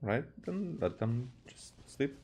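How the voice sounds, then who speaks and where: A man doing casual gameplay commentary as a streamer, with a halting, hesitant delivery. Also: mid-range voice, animated, a little mellow, slight background noise. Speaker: game streamer. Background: game music.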